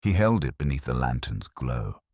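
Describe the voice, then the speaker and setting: A man narrating a scripted mystery story as an audiobook narrator, in a measured, solemn way. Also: very deep voice, big pitch swings, darkish tone, no room sound, studio quality.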